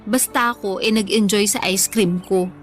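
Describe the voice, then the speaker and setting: A woman's voice: mid-range voice, fairly even intonation, moderate pace, faint music behind, close to an everyday voice. A woman playing a playful, stubborn, food-loving woman in her thirties, bantering casually in a radio drama. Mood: content, playful. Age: 30s.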